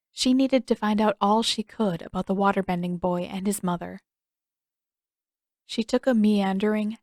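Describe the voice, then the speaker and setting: A woman's voice: medium pitch, darkish tone, no room sound, clean studio sound. A woman reading scripted fiction aloud as an audiobook narrator.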